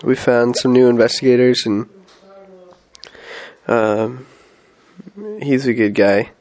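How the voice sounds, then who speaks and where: A man's voice: medium pitch, fairly even intonation, slow pace, darkish tone. A young man on a mission, talking casually through his week with many fillers and restarts while stuffy with a head cold. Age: young adult. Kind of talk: casual weekly update vlog.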